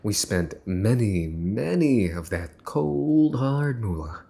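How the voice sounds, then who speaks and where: A man's voice: lowish voice, big pitch swings, takes it slowly, vocal fry, dark tone, full character voice. A man playing a mafia boss's son in an ASMR roleplay, smug, teasing and menacing, with a mocking theatrical drawl. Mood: smug menace. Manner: mocking, theatrical drawl with mock-cute wording. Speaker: voice actor.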